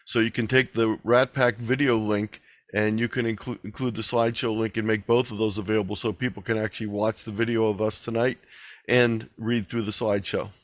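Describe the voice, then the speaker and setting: A man's voice: on the low side, flat delivery, brisk, no echo. A man speaking informally as the presenter and moderator of an online meeting.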